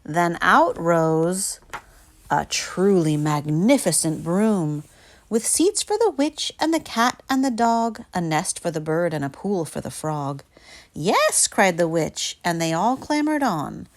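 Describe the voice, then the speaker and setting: A woman reading a children's picture book aloud in an expressive, dramatic storytelling style. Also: lowish voice, takes it slowly.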